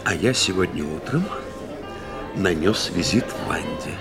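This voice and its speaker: An actor playing a composed, probing doctor who assists the police in a radio play, politely insistent. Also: male voice, deep voice, lively intonation, measured pace. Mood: confiding. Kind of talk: Russian radio play acting. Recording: radio play with music underneath.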